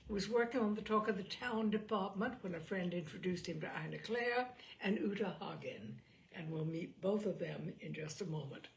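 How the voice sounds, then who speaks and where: Creaky-voiced woman reading stories expressively. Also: medium pitch, low-quality recording. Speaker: host of a story-reading series. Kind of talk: expressive literary reading with chatty introduction.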